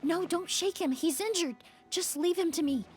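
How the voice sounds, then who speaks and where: This is a composed, polite perfumer from a video game. Her voice sits fairly high. She speaks in a calm and courteous way. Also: moderate pace, faint music behind.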